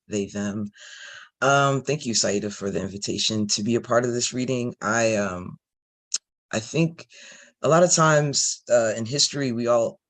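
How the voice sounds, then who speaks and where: Deep-voiced man, halting and casual. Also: fairly bright tone. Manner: halting, repeats words, says like often. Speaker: storyteller, reading participant. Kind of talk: reflective casual talk.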